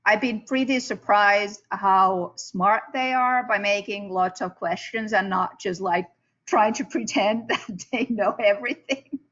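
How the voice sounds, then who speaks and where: A woman from Finland, speaking on a panel in a halting way with many fillers and restarts. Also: medium pitch, a bit bright, dull audio. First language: Finnish. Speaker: panelist, PhD student. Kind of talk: casual panel discussion.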